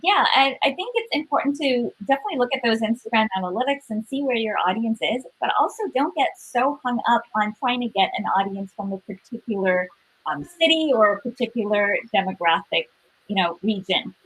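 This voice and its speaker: A woman with a somewhat high, husky voice speaks as a panelist. She offers practical advice in a conversational style. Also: lively intonation, fairly quick, rough recording. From born in Sri Lanka, moved away at five to grow up in Canada. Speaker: panelist, influencer.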